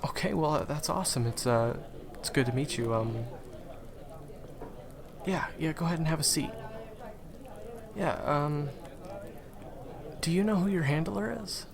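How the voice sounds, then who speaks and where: A man's voice: on the high side, a little slow, vocal fry, long pauses, a crowd in the background. A man acting in an audio roleplay as a friendly, awkward, eager young guide to monster hunters, talking hesitantly and stammering to the listener. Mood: flustered, friendly.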